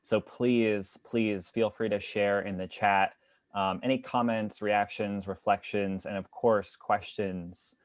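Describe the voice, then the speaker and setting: A young man from Long Island, New York, gives a casual talk as a guest speaker. His voice sits on the low side and sounds dry and close. He comes across as friendly and grateful, with a lot of ums. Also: fairly even intonation, takes it slowly, dry recording. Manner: friendly, grateful, upbeat, with frequent "um". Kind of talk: casual presentation to an online audience.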